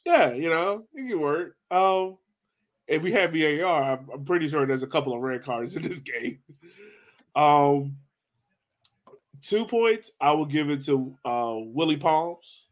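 A man's voice: fairly high pitch, animated, moderate pace. Casual man talking sports. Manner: informal, conversational. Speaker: podcast host.

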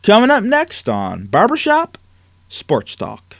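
A man's voice: high-pitched, huge pitch swings, takes it slowly, vocal fry, dry recording, studio quality. A man hosting a sports talk show, ranting emphatically with rhetorical questions, then chatting with a guest. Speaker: sports talk radio host.

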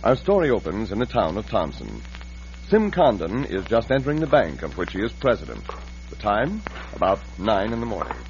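A man's voice: lowish voice, huge pitch swings, moderate pace, a little mellow, dull audio, a little hiss or hum. A man narrating an old-time radio show with a dramatic, polished announcer's delivery. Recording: band-limited old radio broadcast. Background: music.

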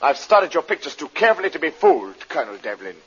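An actor playing a suave, fussy spy villain in an old-time radio drama, speaking in a husky, pompous and precise manner. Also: male voice, a little high, sing-song, fast talker, a bit bright, full character voice. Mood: smooth menace. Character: suave, fussy, menacing male spy feigning indignant mourner. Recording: dull vintage radio drama recording.

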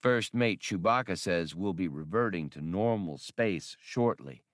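A man's voice: sits low, expressive, a little slow, no echo, clean studio sound. A man voicing a courteous old droid with a formal, drawling manner in an audiobook. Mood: courteous, curious.

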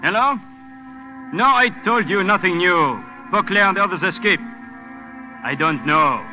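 An actor playing a probing French police inspector with a formal questioning manner in a 1940s radio drama. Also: male voice, high voice, animated, faint music behind. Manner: formal, measured interrogation. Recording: dull, faintly noisy radio recording.

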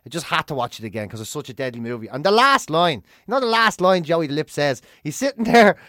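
A man's voice: a little high, very animated, fast, bright, forceful tone, dry recording, studio quality. A middle-aged man from Dublin with an Irish accent, chatting casually as a co-host and telling stories in an informal, repetitive, sweary way. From Dublin, Ireland. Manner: informal, swearing, repeats phrases for emphasis. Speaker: co-host of a talk show. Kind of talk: casual comic storytelling chat.

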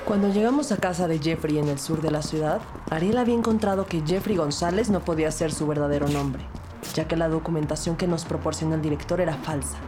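A woman's voice: low voice, quick pace, background noise, natural voice. An actress playing a composed, firm police detective who narrates her case in a scripted crime audio drama. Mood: grave narration. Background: music bed.

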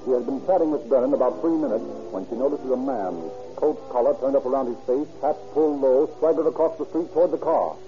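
A man with a husky voice reading scripted narration for an old radio crime drama. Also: mid-range voice, fast, hardly any pauses, faint music behind, close to an everyday voice. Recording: muffled old radio recording.

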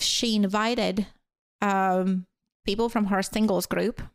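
A woman's voice: medium pitch, no room sound. A woman from Latvia with a Latvian accent, speaking English as a later language and chatting as a guest, rambling with many fillers. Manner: rambling with frequent fillers and apologetic laughs. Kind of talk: casual conversational storytelling.

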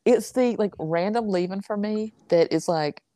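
A woman's voice: medium pitch, normal speed, warm tone, no room sound, clean studio sound. A woman with a Southern US accent in casual chat as a podcast co-host, telling stories in an emphatic, dramatic, gossipy way.

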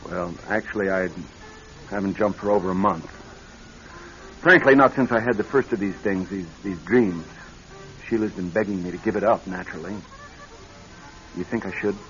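An actor in a radio drama playing a nervous young married executive troubled by bad dreams, speaking in a halting, earnest way. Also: male voice, low-pitched, a bit bright, soft background music. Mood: anxious, defensive. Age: young adult. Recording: dull band-limited old radio recording.

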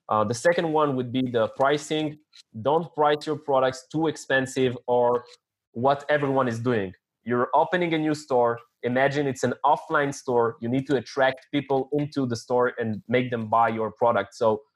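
French-speaking man giving earnest tips. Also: medium pitch. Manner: searches for words, hesitant fillers, earnest advice-giving. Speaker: podcast guest, online store founder. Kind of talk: casual interview talk.

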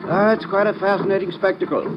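A man's voice: sits high, steady tone, fast, lightly acted. A man acting an eager, polite and scholarly professor in an old-time radio drama, speaking effusively. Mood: delighted, eager. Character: eager, genteel male professor on expedition. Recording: muffled old radio recording, loud background noise.

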